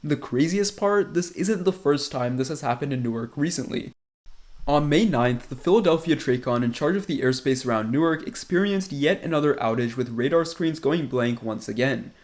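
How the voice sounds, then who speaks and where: A young man reads a scripted aviation explainer in a measured, informative way. His voice sits a little higher than most men's. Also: expressive. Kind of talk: scripted narration.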